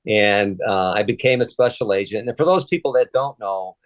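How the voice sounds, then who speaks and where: A man in his sixties from Chicago, a native Polish speaker, talking in a relaxed, hesitant way with many fillers and restarts as an interview guest. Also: lowish voice, moderate pace, no room sound. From Chicago, USA. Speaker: interview guest, retired Secret Service agent. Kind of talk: casual conversational interview.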